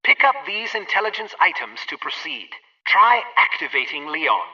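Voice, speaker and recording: An actor playing a brisk gadget-lab instructor in a video game, giving precise, emphatic training directions. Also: male voice, on the high side, very animated, sharp tone, recorded from a distance, muffled recording, full character voice. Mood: brisk, instructive.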